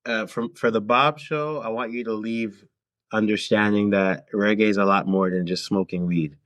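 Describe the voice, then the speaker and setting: A man speaks as a podcast guest in a low-pitched voice. His delivery is conversational and reflective, as he thinks aloud and checks in with the listener. The recording is dry and free of room sound. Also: steady tone, normal speed, fairly bright tone, no room sound. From Toronto, Canada. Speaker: podcast guest, singer-songwriter. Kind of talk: casual interview conversation.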